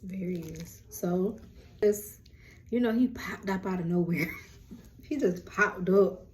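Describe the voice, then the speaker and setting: A young woman with a deep voice, hosting a rambling, casual lunchtime chat on her vlog. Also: slow pace, a little mellow, a little hiss or hum. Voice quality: low, deep voice. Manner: chatty, rambling, self-described yapper. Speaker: vlog host.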